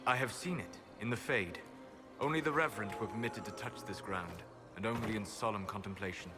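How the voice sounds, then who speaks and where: An actor playing a grave, secretive elven sage in a video game, solemn and deliberate, with dry disdain. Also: male voice, lowish voice, natural voice. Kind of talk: video game dialogue acting. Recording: loud music under voice.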